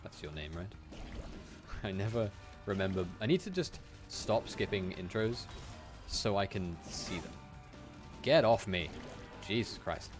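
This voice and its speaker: A young man from Wales with a general British accent and a low, deep voice. He keeps up a chatty, relaxed gameplay commentary, laughing often as he talks to his viewers from a room at home. Faint game music sits quietly behind him. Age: young adult. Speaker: game streamer.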